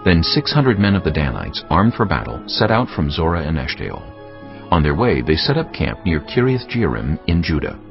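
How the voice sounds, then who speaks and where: A man's voice: low-pitched, very animated, moderate pace, creaky, highs cut off. A man narrating scripture as a dramatised audiobook in a solemn storytelling manner. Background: music.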